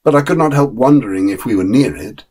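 Husky man as a loyal storybook dog. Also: medium pitch, lively intonation, quick pace, darkish tone, close to an everyday voice. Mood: wistful, earnest. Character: loyal, plain-spoken dog narrating its own story. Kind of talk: dramatic short story reading.

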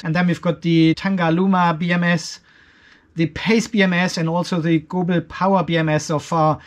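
A man in his fifties giving a casual, step-by-step explainer to camera as a video host, with informal, emphatic phrasing. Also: sits high. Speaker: host of an off-grid solar and battery channel. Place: cluttered garage workshop.

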